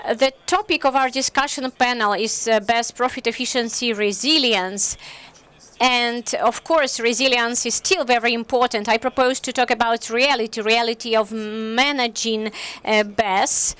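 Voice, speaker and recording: A woman working as a simultaneous interpreter at a conference, speaking in a steady, clear way. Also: a little high, moderate pace.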